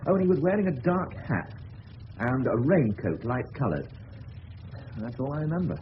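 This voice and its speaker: Actor plays shaken English suburban father, emphatic, lively pitch; radio drama, dull sound with faint hiss. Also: normal speed, natural voice. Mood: grave, recalling. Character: shaken, indignant middle-aged suburban father. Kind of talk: 1970s radio drama acting. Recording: dull hissy old radio recording.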